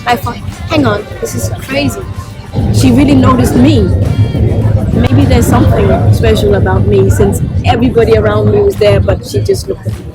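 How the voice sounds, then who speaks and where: A woman from Copenhagen, Denmark, speaking as a singer in a casual, expressive festival interview. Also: on the high side, normal speed, loud music behind the voice, low-quality recording. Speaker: singer and rapper being interviewed.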